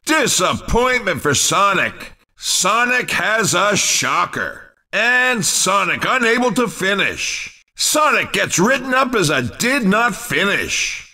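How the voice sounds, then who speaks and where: A man playing an over-the-top, excitable racing game commentator with theatrical, exclamatory announcing. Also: high voice, slow, distant mic, heavily acted. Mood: mock disappointed. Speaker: race commentator. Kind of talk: video game sports commentary.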